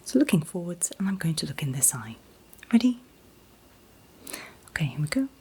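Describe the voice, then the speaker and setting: A woman in a gentle, soothing ASMR roleplay as an optician, whispering breathily and reassuringly without hurry. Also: low voice, big pitch swings, moderate pace. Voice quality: breathy, mostly unvoiced whisper. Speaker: ASMR performer playing an optician.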